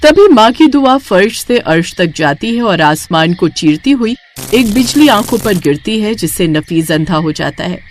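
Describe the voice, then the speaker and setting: A woman's voice: mid-range voice, expressive, normal speed, a little hiss or hum. A woman narrator reading a scripted moral story for children.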